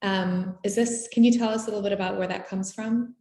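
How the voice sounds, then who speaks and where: A woman's voice: medium pitch, steady tone, brisk, warm tone, reverberant. A woman curator interviewing an artist in a virtual conversation, supportive and reflective. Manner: supportive, reflective, asks open questions. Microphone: video call.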